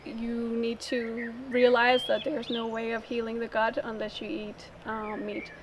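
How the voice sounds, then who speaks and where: Interviewee, woman from Denmark, slightly high voice; casual, halting storytelling; noisy outdoor take. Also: monotone. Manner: halting, thinks aloud.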